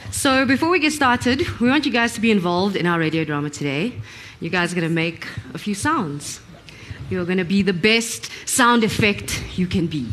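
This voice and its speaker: A woman's voice: medium pitch, animated, moderate pace, fairly bright tone, slight background noise. A woman, upbeat and encouraging as she hosts a live audience session. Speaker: presenter, audience warm-up leader.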